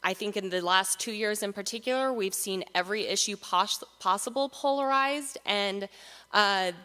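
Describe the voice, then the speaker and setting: Brief: earnest woman speaker, bright crisp voice, filler-heavy delivery, long hall reverb. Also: mid-range voice, normal speed. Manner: earnest, many "uh" fillers between phrases. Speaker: panel speaker for a regional nonprofit. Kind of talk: prepared talk at a live event.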